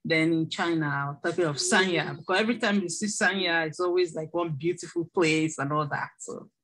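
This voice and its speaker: A doctoral student introducing herself in an online class, chatty and laughing, with restarts. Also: female voice, deep voice, fairly quick, a bit bright. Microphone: video call.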